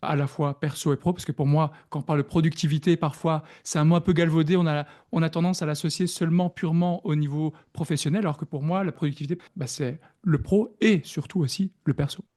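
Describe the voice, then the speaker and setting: Man, Belgian French accent, mellow warm tone, slightly high voice, reflective, hesitant podcast guest. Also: steady tone, quick pace, hardly any pauses. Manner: reflective, hesitant with frequent fillers. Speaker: productivity coach, guest. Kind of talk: podcast interview.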